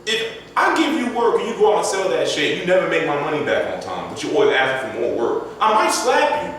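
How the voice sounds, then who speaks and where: A young adult man, a rapper guest, talking casually and slangily on a podcast, his voice jumping up and getting loud when he gets animated. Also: brisk. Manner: laid-back, slangy, climbs high and loud when animated. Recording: echoey room, distant mic, faint noise.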